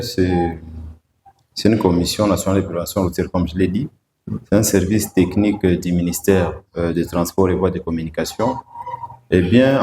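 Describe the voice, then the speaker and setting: A man, a guest answering interview questions in a measured, hesitant way with many fillers. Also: sits low, a little mellow. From Democratic Republic of the Congo. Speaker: guest, provincial road-safety director. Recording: echoey room, faint background noise.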